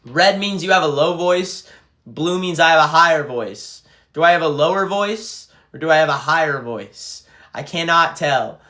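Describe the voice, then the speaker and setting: A young man talks casually on a phone livestream, reading out fan comments and chatting between them. His voice sits a bit high for a man, with a bright, forceful edge. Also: expressive, moderate pace. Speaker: band frontman livestreaming to fans.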